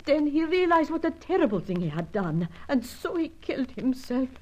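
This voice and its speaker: An actress playing a distressed, fearful European widow who confides in formal, careful, melodramatic phrases in a radio adventure serial. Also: female voice, high voice, big pitch swings, moderate pace, darkish tone, rough recording. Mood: anguished, dramatic.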